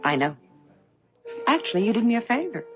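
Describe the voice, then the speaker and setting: A woman's voice: on the high side, sing-song, a little fast, over music. An actress playing a worldly, wealthy middle-aged woman with a theatrical, effusive manner in a 1970s radio drama. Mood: frank, rueful. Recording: dull 1970s radio broadcast recording.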